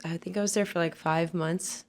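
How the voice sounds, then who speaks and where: Chatty young woman, low voice. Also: steady tone, moderate pace. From born in Moldova, raised in Ireland. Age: 20s. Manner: chatty, easygoing, many "like" fillers. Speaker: guest, content creator. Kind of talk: casual podcast interview.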